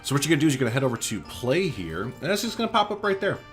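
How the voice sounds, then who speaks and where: A man's voice: medium pitch, very animated, rapid-fire, a bit bright, soft background music. A man hosting a gaming video, giving informal let's-play commentary with jokey asides.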